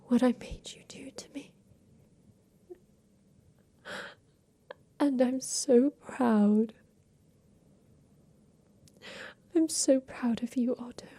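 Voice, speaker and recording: A woman playing a tender, ailing inventor of a mechanical creature in a soft, whispered ASMR roleplay. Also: on the high side, breathy edge, exaggerated voice. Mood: tender, wistful. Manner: slow, gentle, soothing, with pauses.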